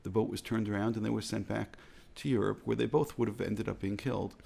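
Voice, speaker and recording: An American man speaks as a rabbi in a measured, earnest reflective talk. His voice is heavily gravelly with a husky edge. He leans on repeated phrases to drive his points home. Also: on the low side, fairly even intonation, dark tone. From United States.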